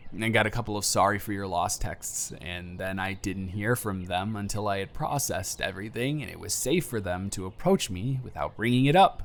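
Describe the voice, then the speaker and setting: A man's voice: lowish voice, a little hiss or hum. A man playing an earnest, anxious young man confessing love to a close friend in a hesitant, vulnerable way, in an intimate audio roleplay. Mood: earnest, reflective. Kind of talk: emotional roleplay audio acting, intimate monologue to the listener.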